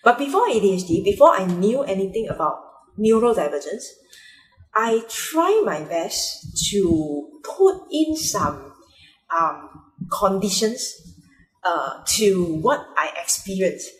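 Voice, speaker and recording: A woman's voice: mid-range voice, big pitch swings, takes it slowly. Hesitant Malaysian woman, echoey room. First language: Mandarin. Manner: hesitant, many fillers and restarts. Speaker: vlogger. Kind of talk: personal vlog.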